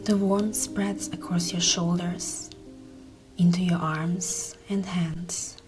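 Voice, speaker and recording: A woman narrating a guided meditation in a soft, breathy, often near-whispered voice, soothing and gentle. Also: lowish voice, steady tone, takes it slowly. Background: meditation music.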